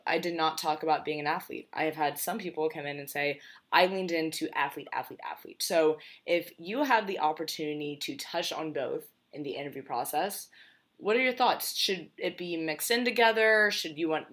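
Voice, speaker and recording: A woman hosting a podcast, asking questions in a casual, fairly even way, often stammering as she starts a sentence. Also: low-pitched. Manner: self-described monotone, stammers at starts. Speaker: podcast host. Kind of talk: casual interview chat.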